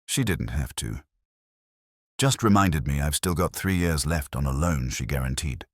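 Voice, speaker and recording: A man's voice: rumbling low, expressive, moderate pace, no echo, studio quality. A man voicing a panicky, defensive publican who pleads and protests in a spoken story. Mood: uneasy.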